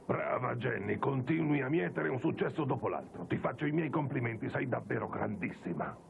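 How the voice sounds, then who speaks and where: An actor playing an earnest, shy, awkward young student judoka in a cartoon dub, with a gravelly voice. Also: male voice, mid-range voice, expressive, phone-quality sound, slight background noise. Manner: earnest, halting. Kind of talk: Italian anime dub acting.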